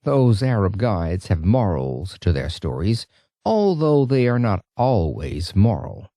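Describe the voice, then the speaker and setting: A man narrating an audiobook in a dramatic, expressive storytelling style. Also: fairly low pitch, slow, no room sound, studio quality.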